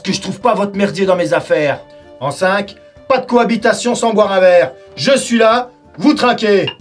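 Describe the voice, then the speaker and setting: A man's voice: a little high, husky. An actor in his forties playing a short-tempered, sarcastic medieval warrior in a comedy sketch, recorded on a stone cellar set. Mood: bossy. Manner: mock-friendly, then sarcastic and insulting, shouting at times. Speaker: warrior who owns the wine cellar.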